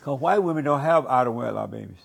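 A man's voice: mid-range voice, expressive, fast, vocal fry, husky. An older man hosting a talk show, asking blunt and provocative interview questions.

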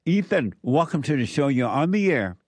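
A man's voice: a little high, big pitch swings, moderate pace, no echo. Blunt male call-in host, dry close sound. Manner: blunt, challenging, short repeated questions. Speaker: radio call-in show host. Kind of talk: call-in debate, probing questions.

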